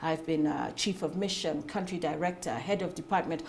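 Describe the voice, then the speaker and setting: A Kenyan woman in her forties, answering interview questions about her run for the Senate in an articulate, measured way. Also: deep voice, a little hiss or hum. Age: 40s. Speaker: Senate aspirant, guest.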